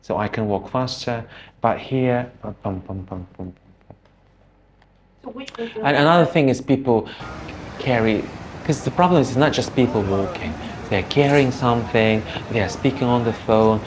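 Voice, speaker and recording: A man from Russia, an English teacher talking earnestly in an interview, pausing often as he thinks. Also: mid-range voice, poor audio. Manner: earnest, chatty, with many pauses. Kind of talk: documentary interview talk. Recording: background music and ambient noise.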